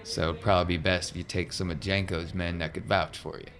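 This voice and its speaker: A man plays a gruff sci-fi hangar boss in a tabletop game, speaking in a low voice. He is blunt and protective, with clipped, folksy phrasing. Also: little pitch movement, quick pace, fairly bright tone. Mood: protective, matter-of-fact. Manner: terse, blunt, drawling folksy grammar. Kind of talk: improvised tabletop roleplay.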